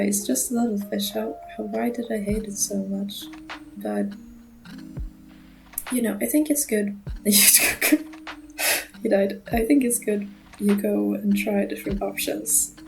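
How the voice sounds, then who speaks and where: A young woman with a non-native English accent gives a casual, nervous voiceover over her drawing, speaking in a monotone and mumbling a little, with frequent small laughs. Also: medium pitch, vocal fry, poor audio. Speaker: art channel creator. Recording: music playing underneath.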